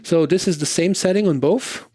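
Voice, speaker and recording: A man reviewing gear in a casual, off-the-cuff way, thinking aloud in a halting manner. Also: sits high, over music. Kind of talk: casual off-the-cuff pedal demo chat.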